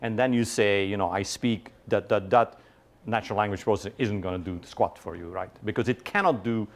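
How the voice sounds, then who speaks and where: A middle-aged man giving a university lecture in a large hall, lively and conversational, often checking in with "right?" and quizzing his audience. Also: lowish voice, brisk. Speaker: guest lecturer.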